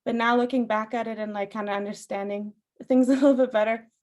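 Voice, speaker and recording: A woman's voice: fairly high pitch, steady tone, fast, a little mellow. Chatty young woman, Farsi native, laughing. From Austria. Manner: chatty, laughs often, many "like" and "um". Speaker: singer, podcast guest. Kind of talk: casual interview chat.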